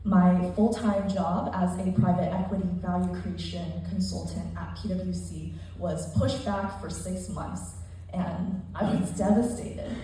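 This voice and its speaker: A woman's voice: on the low side, fairly even intonation, unhurried, mellow, far from the mic, a little hiss or hum. Young woman speaking in an echoey hall. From United States, immigrated at seven. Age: young adult. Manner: earnest, emphatic, builds to rhetorical lists. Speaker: conference speaker. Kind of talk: rehearsed motivational speech. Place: large conference hall.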